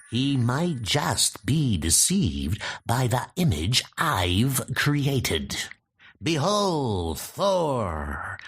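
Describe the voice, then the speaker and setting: Casting: man to play arrogant trickster god, theatrical comic-dub villain, slightly husky, wildly varied pitch. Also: fairly bright tone, full character voice. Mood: plotting. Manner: theatrical, pompous, archaic commanding speech.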